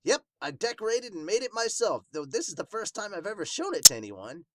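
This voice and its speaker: A man's voice: sits high, sing-song, fairly quick, very noisy, voice pushed up. A man voicing an emotional, eccentric male talking pig from a manga, a hospitable hermit with an exclamatory manner.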